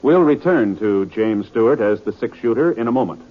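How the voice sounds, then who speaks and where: Animated male radio announcer over music. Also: fairly low pitch, normal speed. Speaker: program announcer. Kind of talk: formal scripted vintage radio announcing. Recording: dull vintage radio recording.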